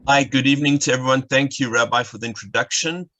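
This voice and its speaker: A man from South Africa with a South African accent, an educator giving a lecture over a video call, explaining in a brisk way with fillers. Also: medium pitch, steady tone, bright, forceful tone. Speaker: educator and guide. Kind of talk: online lecture. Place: home, at night.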